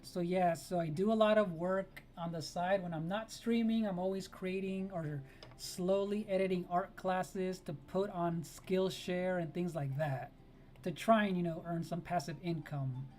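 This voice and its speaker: A woman's voice: lowish voice, steady tone, measured pace, a little mellow. A woman whose first language is Spanish, chatting casually with viewers on a live art stream while she draws, greeting them by name and laughing often.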